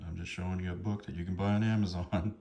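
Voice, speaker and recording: Deep gravelly middle-aged man, rambling casually. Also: flat delivery, fast talker, dark tone. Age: 50s. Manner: amused, chuckling, hesitant and apologetic. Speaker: vlogger showing books from a collection. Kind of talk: casual rambling chat to viewers. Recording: noticeable background noise.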